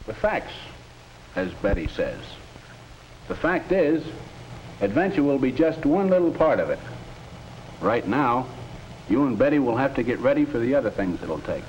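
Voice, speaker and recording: A man acting as a patient, middle-aged American dad from a 1950s classroom film. His delivery is earnest and instructive, with a very lively melody. The sound is muffled, with faint hiss. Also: mid-range voice, moderate pace, pauses often, natural voice. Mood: matter-of-fact. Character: earnest, patient father advising his teenage children.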